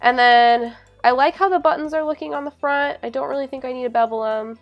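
A young adult woman narrating a software tutorial in a casual, informal way, often saying "like". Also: high-pitched, a little fast, highs cut off. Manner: informal, hedging with frequent "like". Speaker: tutorial presenter. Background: background music.

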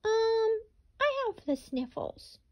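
A woman's voice: very high-pitched, very animated, slow pace, darkish tone, pitched above the performer's own voice, heavily acted. A woman voicing a timid female rabbit with the sniffles, speaking hesitantly. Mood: apologetic.

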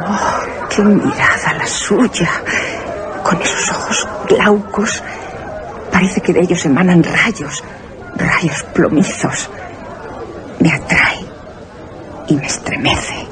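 A woman playing a flirtatious London society lady in a radio drama, breathy and theatrical. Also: lowish voice, slow pace, pauses often, a crowd in the background, noisy background. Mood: gossipy, enthralled. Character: flirtatious high-society London woman, fascinated and fearful of a vampire. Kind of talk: Spanish radio theatre acting.

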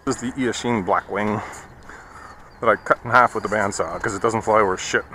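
A man's voice: on the low side, fairly even intonation, moderate pace, bright, forceful tone. A man chatting casually and bluntly as he flies hobby planes, with wry, sweary remarks, recorded outdoors in an open field. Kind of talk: casual chat while flying. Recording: noisy outdoor action-camera sound.

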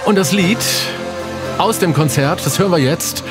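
A man's voice: high voice, moderate pace, over music. A middle-aged man presenting as a radio host in a conversational interview style, on a studio microphone. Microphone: studio broadcast microphone.

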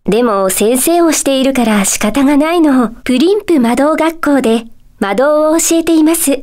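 A woman playing a gentle, polite magic-school teacher in a Japanese video game, sweetly composed, with coy, teacherly phrasing. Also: high-pitched. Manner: polite, soft-spoken teacherly phrasing with playful coyness. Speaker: voice actor.

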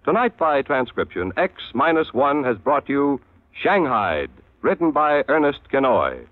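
A man's voice: medium pitch, sing-song, measured pace, no echo, soft background music. A man announcing credits in the formal style of a 1950s radio broadcast. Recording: tinny old radio broadcast recording.

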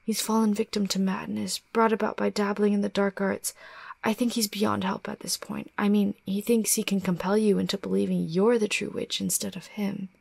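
A woman voicing an anxious, cunning young girl in an animated drama, earnest and guarded, sometimes breathy. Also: medium pitch, normal speed. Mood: calm, scheming. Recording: music under the dialogue.

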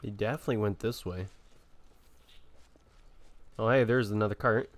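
A man's voice: lowish voice, moderate pace, dry recording. A man giving casual commentary while playing a game.

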